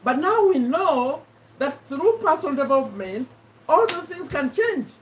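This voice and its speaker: A woman with a Ugandan accent hosting a casual live stream, chatting to viewers in an animated way and repeating herself for emphasis. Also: sits high, a little slow, slight background noise. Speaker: host of a live personal development discussion. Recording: voice somewhat far from the mic.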